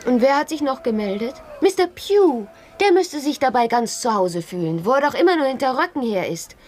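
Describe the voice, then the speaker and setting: A spirited gentlewoman in a radio play. Her voice is high, and she speaks with teasing, sardonic mockery. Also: very animated, brisk, slight background noise. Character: spirited, mocking young gentlewoman with a sharp tongue. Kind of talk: radio drama acting.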